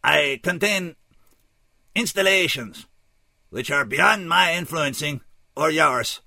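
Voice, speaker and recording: A man's voice: on the high side, animated, gravelly, pushed and bright, no echo, clean studio sound, pitched above the performer's own voice, exaggerated voice. A man voicing a tall, anguished alien scout who speaks formally and dispassionately in an odd, unplaceable accent, with a pained tone. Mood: helpless, dispassionate. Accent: odd, unplaceable foreign accent. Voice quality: pained, strained. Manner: stiff, formal, dispassionate, slow and deliberate.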